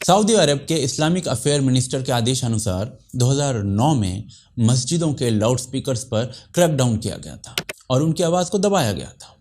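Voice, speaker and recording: A man's voice: medium pitch, a little mellow, a little hiss or hum. A man presenting a scripted explainer narration.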